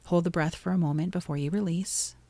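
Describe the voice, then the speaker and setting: A woman narrating a guided meditation in a soothing, gently instructive way, pausing between short phrases. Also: lowish voice, steady tone, no room sound.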